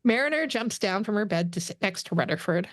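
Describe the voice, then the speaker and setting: A woman's voice: medium pitch, animated, brisk, dry recording, studio quality. A woman narrating the stage directions of a script as scripted narration.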